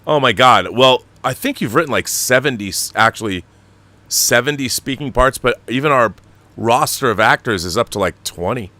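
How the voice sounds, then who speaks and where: A man's voice: mid-range voice, animated, normal speed, bright, forceful tone. A man hosting a casual livestream chat, talking in an effusive, enthusiastic way about his projects. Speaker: show host and producer.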